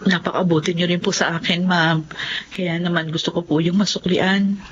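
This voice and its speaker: A woman's voice: on the low side, flat delivery, moderate pace, slight background noise, close to an everyday voice. An actress playing a humble, devoted widowed mother in a Filipino radio drama, deferential and full of feeling. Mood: grateful. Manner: deferential, emotional. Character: humble widowed mother working away from home, devoted, anguished. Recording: muffled studio drama with loud music.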